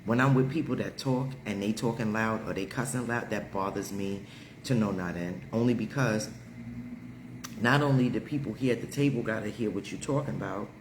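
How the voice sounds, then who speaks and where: A man hosting a live stream, telling everyday stories in a casual, animated way with frequent laughter. He has a deep, heavy voice. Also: brisk, roomy sound, noisy background. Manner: animated, laughs often, talks straight to viewers. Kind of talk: casual storytelling chat.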